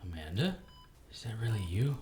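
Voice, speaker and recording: Dry, world-weary private eye, animated pitch. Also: male voice, moderate pace, slightly rough, full character voice. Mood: groggy, weary. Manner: terse, deadpan, understated. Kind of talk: radio drama acting and hardboiled first-person narration.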